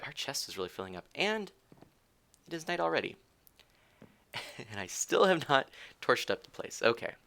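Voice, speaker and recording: A man's voice: mid-range voice, gravelly, fairly bright tone. A man streaming a game live, chatting with viewers, giggling and teasing as he thinks aloud. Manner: rambling with frequent restarts.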